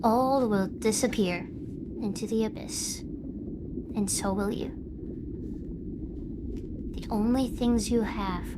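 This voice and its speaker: A woman plays a young female streamer and her alter ego in an audio drama. The voice is fairly high and emotionally intense, with clearly audible ambient noise behind it. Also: lightly acted. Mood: grave, brooding. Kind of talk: scripted dramatic dialogue. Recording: noisy background ambience.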